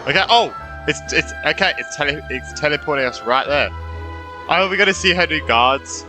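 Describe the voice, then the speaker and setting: A man's voice: fairly high pitch, lively intonation, moderate pace. A man giving casual live commentary as he plays a game, in a hesitant, trailing way with repeated phrases. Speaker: gaming streamer. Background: game music.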